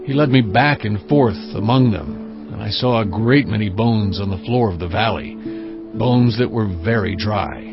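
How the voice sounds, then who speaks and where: A man's voice: lowish voice, moderate pace, lightly acted. A man as an earnest prophet over prominent music. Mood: awed, reverent. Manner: earnest, solemn, dramatic storytelling. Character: reverent male prophet recounting his visions. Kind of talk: dramatized scripture narration. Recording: dull audio under prominent music.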